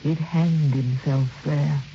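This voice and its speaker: A woman's voice: low voice, fairly even intonation, slow pace. An actress playing a gossipy, plain-spoken, working-class woman in an old radio drama, hesitant and matter-of-fact. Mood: solemn. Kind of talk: old-time radio acting. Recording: dull, noisy old radio recording.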